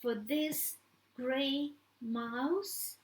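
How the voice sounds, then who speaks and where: A woman reading a first-person story aloud in a halting way, stumbling over words. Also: high voice. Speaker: author reading own book. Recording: echoey room.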